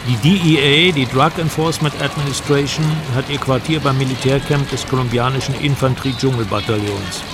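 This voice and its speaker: A man's voice: medium pitch, a little fast, few pauses, noisy background, natural voice. A man playing a wary traveler who recounts a river journey in vivid, literary radio drama narration. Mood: recounting, watchful.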